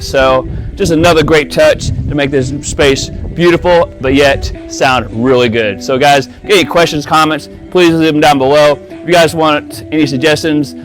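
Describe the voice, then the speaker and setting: A man's voice: fairly high pitch, rough recording. A man presenting his audio installation work in a casual, enthusiastic walkthrough, talking informally to viewers outdoors. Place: outdoors by a pool and patio.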